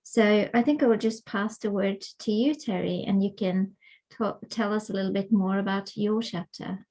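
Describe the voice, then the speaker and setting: A woman's voice: mid-range voice, normal speed, a little mellow. A woman with a mid-range voice opens an academic webinar over a video call. She speaks with many ums and uhs, stopping often to find her words. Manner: hesitant, many fillers. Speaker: webinar host and co-editor. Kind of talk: academic panel introduction.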